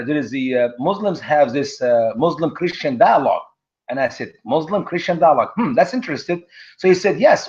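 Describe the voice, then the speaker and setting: Man, talk show guest, animated storyteller, slightly high voice, dull lo-fi sound. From Egypt. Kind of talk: conversational storytelling.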